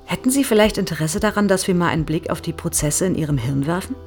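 Casting: middle-aged research psychologist, lowish voice, polite and keenly probing, naturalistic audio drama, music underneath. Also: female voice, lively intonation, quick pace, a little mellow. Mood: curious, reassuring. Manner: polite, explanatory, keenly interested. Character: eager, probing female research psychologist, no longer young.

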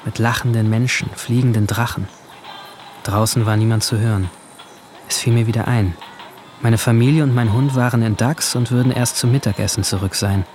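A man playing a brooding, alienated seventeen-year-old who narrates his guilt in a hushed, introspective radio drama style. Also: mid-range voice, fairly even intonation, moderate pace, soft background music, close to an everyday voice. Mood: sullen, uneasy. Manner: hushed, introspective, at times whispering.

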